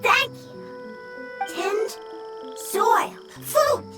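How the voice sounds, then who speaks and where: A small, simple, friendly mushroom creature from a game. It has an extremely high squeak that swoops about, with very slow, halting broken words. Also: female voice, expressive, over loud music, heavily acted. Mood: earnest. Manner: halting single-word broken speech. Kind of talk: video game voice acting.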